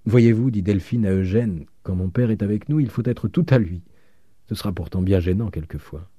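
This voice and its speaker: Tender young daughter read by a man, low dark voice, dry sound. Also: moderate pace, no room sound, lightly acted. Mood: confiding. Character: young woman, adoring daughter.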